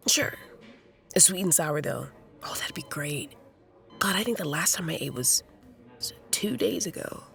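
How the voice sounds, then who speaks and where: Low-voiced, halting troubled young woman. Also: lively intonation, gravelly, a bit bright, faint music behind, heavily acted. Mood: playful. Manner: halting, stammering. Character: troubled young woman recovering from overdose, sheepish, wry. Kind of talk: naturalistic audio drama acting.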